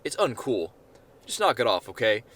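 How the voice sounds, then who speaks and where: A man's voice: medium pitch, very animated, moderate pace, a bit bright. Man playing an easygoing, slangy slacker guy. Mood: mildly annoyed. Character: laid-back, casual slacker young man, unbothered. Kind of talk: amateur short-story character reading.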